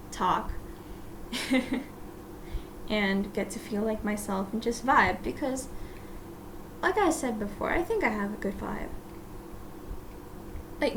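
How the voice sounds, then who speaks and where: A woman, a native Russian speaker, chats on her own podcast in a fairly high voice. She rambles, apologizes for herself and laughs softly between thoughts, with a faint hiss of noise underneath. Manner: rambling and self-deprecating, often laughing softly; chatty, full of "like" fillers and restarts. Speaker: podcast host. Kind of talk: casual solo podcast chat.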